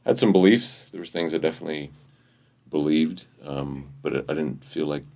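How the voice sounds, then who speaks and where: A man in his fifties from Hawaii with an extremely low voice full of gravelly fry. He talks through his story in a relaxed, halting way, correcting himself as he goes. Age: 50s. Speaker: guest. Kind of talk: casual interview chat.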